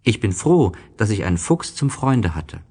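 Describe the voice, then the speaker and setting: A man voicing a gentle, innocent young prince with a slow, wondering manner in a storybook reading. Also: on the low side, sing-song, voice pushed up. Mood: dreamy, earnest.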